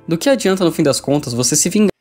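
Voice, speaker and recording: A man's voice: fairly high pitch, lively intonation, fast talker, a little mellow. A man with a Brazilian accent reading a scripted review as a video essay narrator. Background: background music.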